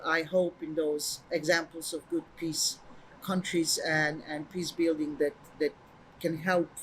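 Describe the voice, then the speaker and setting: A woman's voice: lowish voice, slight background noise, low-quality recording. A middle-aged woman from Serbia with a Serbian accent, telling her story as an artist and activist in a hesitant way, with many fillers and restarts. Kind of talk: personal account to camera.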